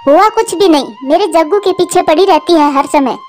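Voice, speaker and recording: A woman voicing a sharp-tongued, doting mother crow who nags indignantly in a cartoon. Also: extremely high pitch, normal speed, soft background music. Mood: snippy. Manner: indignant, nagging. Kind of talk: Hindi cartoon voice acting.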